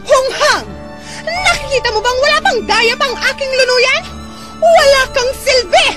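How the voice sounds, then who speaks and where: Casting: vain, jealous royal beauty, very shrill register, theatrical radio-play delivery, music underneath. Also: female voice, measured pace. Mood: furious, imperious. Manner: haughty, barking orders at servants. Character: vain, imperious, jealous young sultana obsessed with beauty. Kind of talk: melodramatic radio-drama acting. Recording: music behind.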